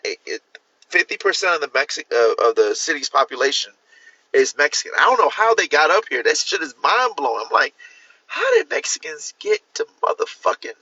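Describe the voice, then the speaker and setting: A man from Miami chatting casually on a livestream, rambling with restarts and jumping up in pitch to stress a point. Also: wildly swooping pitch, normal speed, pushed and bright, husky, no echo, muffled recording. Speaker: author hosting a livestream. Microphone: phone or computer mic.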